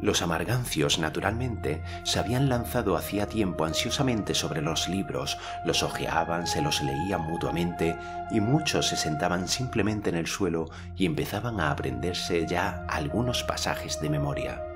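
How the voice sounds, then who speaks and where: A man's voice: deep voice, animated, normal speed. A man from narrating a novel as an audiobook, in scripted literary style. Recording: music clearly behind the voice.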